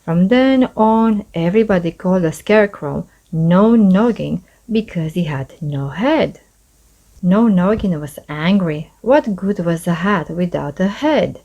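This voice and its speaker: A woman reading a children's story aloud in a measured, expressive storytelling manner. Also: on the low side, muffled recording. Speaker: storybook reader. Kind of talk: children's read-aloud narration.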